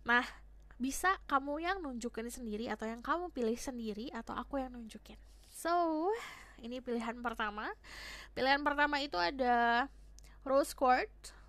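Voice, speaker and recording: A young woman with a hoarse voice, chatting casually as she gives a tarot reading to her viewers. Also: on the high side, a bit bright. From Indonesia. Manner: chatty, switches between Indonesian and English phrases. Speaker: tarot reader.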